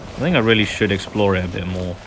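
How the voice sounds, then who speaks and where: A man's voice: sits low, fast talker, noisy background. A man hosting a gaming video with casual commentary, often muttering asides to himself. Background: game music.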